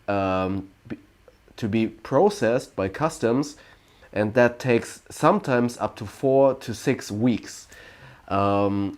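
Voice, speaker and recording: German man in his late thirties, livestream host, low and unhurried, full of ums and restarts. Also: darkish tone. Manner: slow, hesitant, many ums and restarts. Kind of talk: casual Q&A livestream.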